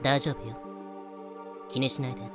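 A man's voice: medium pitch, level tone, moderate pace, music in the background, natural voice. A man voicing a casually cruel pirate captain who talks in nonchalant, feminine phrasing in a fan-made voice drama. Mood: cool, menacing.

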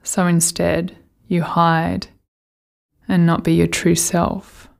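A woman speaks as a meditation guide in a fairly low register with a noticeable creak. Her intonation stays flat and steady. She gives soothing, gentle instructions, leaving unhurried pauses between phrases.

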